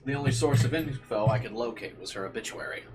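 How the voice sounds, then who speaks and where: A man's voice: mid-range voice, fast talker. A man reading a visual novel aloud as a streamer, shifting between dramatic narration and slangy, playful asides. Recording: music playing behind the voice. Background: guitar game music.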